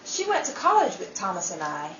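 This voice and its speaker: A woman playing a harried, sharp-tongued housewife and mother in old-time radio comedy style, exclamatory and wisecracking, in a roomy, muffled recording. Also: fairly low pitch, wildly swooping pitch, fairly quick, recorded from a distance, a little hiss or hum. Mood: anxious, confiding.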